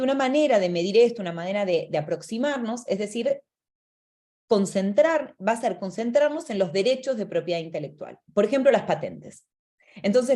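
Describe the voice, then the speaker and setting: A woman's voice: mid-range voice, warm tone. Argentine woman giving a webinar talk on a video call, lots of eh and restarts, explaining stuff. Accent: Argentine Spanish. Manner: explanatory, with frequent fillers and self-corrections. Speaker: webinar speaker. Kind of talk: lecture presentation over video call.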